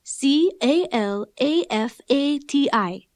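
A woman's voice: high voice, lively intonation, slow pace, a little mellow, no echo, clean studio sound, full character voice. Woman playing a careful young student. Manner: careful spelling, plain. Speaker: student giving name. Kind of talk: scripted textbook dialogue.

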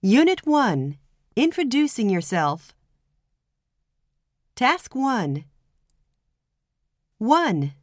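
A woman narrating a language textbook recording, clearly announcing units and numbers. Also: a little high, big pitch swings, extremely slow, pauses often, no echo, studio quality.

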